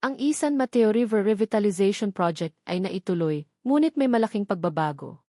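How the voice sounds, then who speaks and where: Woman, Filipino accent, medium pitch, dramatic love-story narration, measured phrasing, sentimental delivery, dry close sound. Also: lively intonation, mellow, dry recording.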